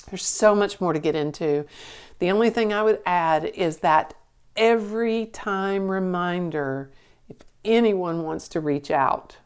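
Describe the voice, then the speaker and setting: A woman with a deep voice co-hosting a podcast, telling a painful memory candidly, swearing freely and laughing through the hard parts. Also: smooth. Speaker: podcast co-host. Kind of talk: candid conversational storytelling.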